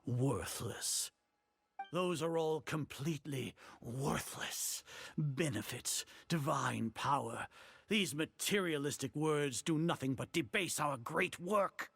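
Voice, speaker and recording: A pompous, gravelly villainous sage. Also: male voice, a little high, animated, slow, a bit bright, faint music behind, heavily acted. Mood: haughty, dismissive. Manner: pompous, formal, grandiose. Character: arrogant older male grand sage, zealous, condescending scholar-villain. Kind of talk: video game voice acting.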